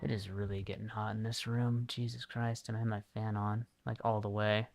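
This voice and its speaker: A man's voice: fairly low pitch, flat delivery, a little fast, gravelly, background noise. A man giving casual, musing commentary on a gaming livestream, often trailing off mid-thought. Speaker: livestreamer playing a video game. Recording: game music behind the voice.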